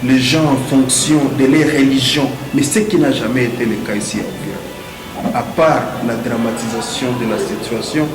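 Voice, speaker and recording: A man's voice: mid-range voice, normal speed, music in the background. Echoey Congolese man arguing emphatically in French. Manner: emphatic, rhetorical, repeats phrases for emphasis. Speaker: debate speaker. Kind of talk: argumentative debate speech. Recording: echoey room with background noise.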